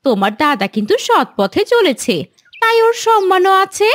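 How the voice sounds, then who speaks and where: A woman's voice: very high voice, very animated, moderate pace, fairly bright tone, exaggerated voice. A woman playing a principled village wife, moralising and reproachful, in a fairy-tale cartoon. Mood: admonishing. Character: principled, scolding village wife warning her husband.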